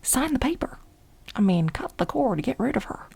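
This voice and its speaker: A woman playing an obsessive, scheming coworker pining for her married boss, whispering dramatically and insistently in a soft-spoken ASMR role play. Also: fairly high pitch, big pitch swings, heavily acted. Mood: insistent, pleading. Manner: breathy whispering, dramatic, insistent, with halting repetitions.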